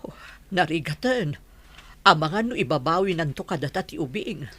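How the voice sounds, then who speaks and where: Casting: concerned wife, raspy voice with big pitch swings, Ilocano accent, radio play. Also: female voice, expressive, moderate pace, fairly bright tone, no room sound, heavily acted. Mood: anxious. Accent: Ilocano Filipino. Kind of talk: radio drama acting.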